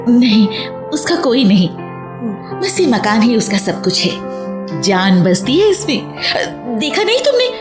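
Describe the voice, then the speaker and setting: A chatty, hospitable village neighbour in a radio drama. She speaks unhurriedly in a high, very expressive voice, with music behind her. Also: measured pace, echoey room. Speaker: radio play actress. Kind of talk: Hindi radio drama acting.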